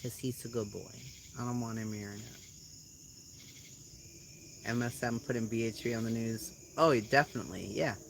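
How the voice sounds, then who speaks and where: A woman with a Boston accent hosting a casual live chat at home, blunt and quick to swear, laughing often as she reacts to comments. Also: rumbling low, gravelly, noisy background. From Boston area, USA. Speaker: channel host.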